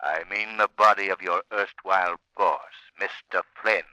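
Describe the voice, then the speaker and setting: An actor playing a menacing, commanding invisible avenger in an old radio crime drama, taunting and slow in his delivery. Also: deep voice, steady tone, lightly acted, poor audio. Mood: eerie menace. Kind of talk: 1940s radio acting.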